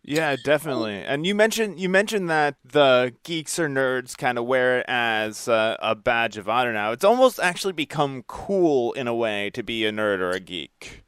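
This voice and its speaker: A man with a clear, smooth voice of average pitch hosts a casual interview. He sounds informal and agreeable, and the recording is very dry. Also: animated, moderate pace, few pauses, fairly bright tone, no echo. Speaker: podcast host, interviewer.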